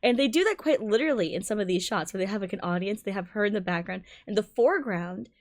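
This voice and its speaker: A woman streamer giving excited, exclamatory and rambling reaction commentary on a game trailer. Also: mid-range voice, fast. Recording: music playing behind the voice. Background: game trailer music and dialogue.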